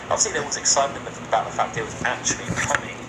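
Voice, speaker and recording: A man's voice: mid-range voice, very fast, crowd noise, rough recording. A man talking casually as a podcast host in a discussion about games.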